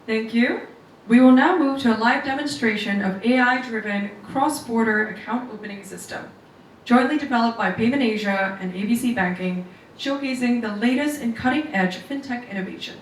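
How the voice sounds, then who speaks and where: Higher-pitched woman, echoey hall. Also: normal speed. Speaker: event emcee. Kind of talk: formal bilingual event hosting. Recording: echoey event hall, distant, faint noise.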